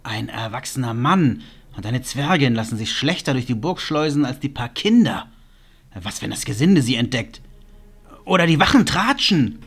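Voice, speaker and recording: Male actor as servile manservant to a villain, husky, swooping intonation, anxious, fantasy audio drama. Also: medium pitch, very animated, moderate pace, a bit bright, full character voice. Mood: deferential, doubtful. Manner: deferential, anxious. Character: servile, conniving chamberlain of a villainous lord.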